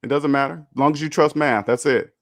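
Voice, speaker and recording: A man's voice: on the high side, brisk, no echo, studio quality. A man in his fifties hosting a call-in money talk, emphatic and repeating his points. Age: 50s. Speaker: host.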